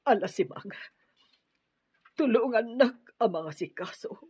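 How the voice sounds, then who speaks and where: A woman's voice: fairly high pitch, expressive, unhurried, darkish tone, breathy edge, no echo. A Filipino woman acting in a radio drama as a grieving mother and widow. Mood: grieving, resigned. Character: grieving mother and new widow of a wicked man. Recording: dull, lo-fi radio drama audio.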